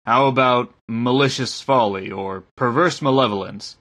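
Guy doing a meek working typesetter for a storybook, low husky voice, deferential, bit of a groan. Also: normal speed, fairly bright tone, lightly acted. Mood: matter-of-fact. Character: downtrodden male typesetter, meek and plain-spoken.

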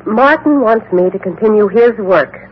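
Overwrought female medium, 1950s radio acting, fervent, repetitive, highly animated, dull old recording. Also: normal speed, husky. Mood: fervent, resolute. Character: frightened, fervent phony medium convinced by her brother's ghost.

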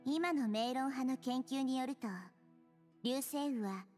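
A wise little girl goddess in an anime game. She speaks gently and thoughtfully in a high voice, with music clearly behind her. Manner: gentle, measured, instructive. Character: small wise childlike girl deity, calm and thoughtful.

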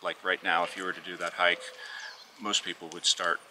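A man's voice: deep voice, flat delivery, a little fast, noisy background. A man talking casually outdoors while walking, explaining hiking details at length. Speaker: viewer joining the walk. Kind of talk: casual conversation. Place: outdoors on a street at dawn, walking.

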